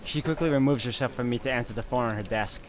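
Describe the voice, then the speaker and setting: A man's voice: medium pitch, fast, slight background noise. A man hosting a gaming video, reading visual novel narration aloud.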